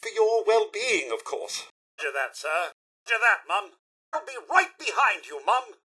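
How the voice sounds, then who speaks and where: A man's voice: sits high, very animated, sharp tone, slightly rough, heavily acted. A man voicing a polite, fussy, easily scandalised English robot butler in a video game, with prim, theatrical, deferential delivery and a British accent. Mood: earnest. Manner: prim, theatrical, deferential but quick to disapprove. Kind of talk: scripted video game character lines.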